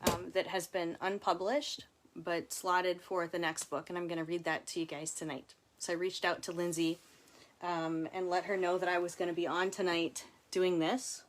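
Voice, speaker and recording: A woman's voice: fairly low pitch, level tone, moderate pace. A woman author reading and chatting casually to viewers, with informal, chatty asides. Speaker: author reading from her book. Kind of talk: casual livestream book reading and chat.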